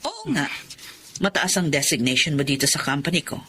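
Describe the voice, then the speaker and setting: A woman's voice: deep voice, wildly swooping pitch, a little fast, fairly bright tone, slight background noise, heavily acted. An actress playing a firm, domineering middle-aged mother and company owner in a Filipino melodrama. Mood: cold, blunt. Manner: firm, imperious.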